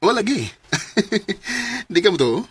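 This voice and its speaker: An actor with a Visayan Filipino accent playing a soft-spoken, coaxing suitor in his late thirties in a radio drama. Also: sits high, big pitch swings, slow, slight background noise, heavily acted. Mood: awkward, friendly.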